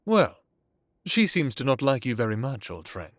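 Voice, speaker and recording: A man playing an ancient, courtly vampire lord in an audio drama, calmly authoritative, with formal, genteel phrasing. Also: mid-range voice, normal speed, no echo, studio quality, close to an everyday voice. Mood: wry.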